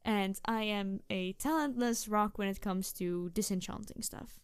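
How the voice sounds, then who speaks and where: A woman voicing a playful, mischievous tanuki spirit girl in a roleplay audio drama, teasing the listener in an excitable, rambling way. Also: medium pitch, unhurried, clear, resonant tone, no echo, lightly acted. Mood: sheepish, wistful. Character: playful, mischievous young-sounding tanuki trickster spirit girl, over two hundred.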